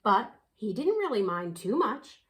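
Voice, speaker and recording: Slow, animated woman reading a picture book. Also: medium pitch. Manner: animated, unhurried, dramatic emphasis. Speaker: children's story reader. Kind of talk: expressive picture-book read-aloud.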